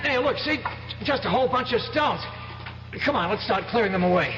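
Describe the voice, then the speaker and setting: A man's voice: very high voice, lively intonation, fairly quick, a bit bright, faint music behind. An actor playing an anxious, protective young man in an old-time radio drama, stammering and repeating his words earnestly. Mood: urgent, reassuring. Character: anxious young husband or sweetheart, protective, trapped. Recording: echoing, dull, noisy vintage radio recording.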